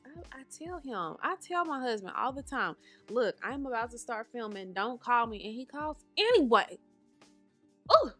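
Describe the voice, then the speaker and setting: A woman's voice: on the high side, sing-song, moderate pace, sharp tone, soft background music. A woman in her thirties hosting a podcast from home, talking casually and calling listeners honey and baby, a little nasal and congested today. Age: 30s. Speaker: podcast host.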